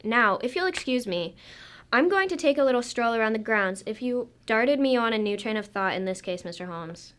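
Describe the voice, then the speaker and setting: A plain-spoken country police sergeant in a radio mystery, played by a woman. The voice is somewhat high, and the manner is folksy, deferential and a bit suspicious. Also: expressive, normal speed, a bit bright. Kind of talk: radio drama acting.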